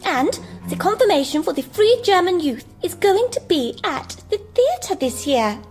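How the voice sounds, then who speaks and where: An eager, proud schoolgirl with a very high voice. Her speech is animated and swooping, in radio drama style. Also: unhurried, soft background music, full character voice. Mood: excited.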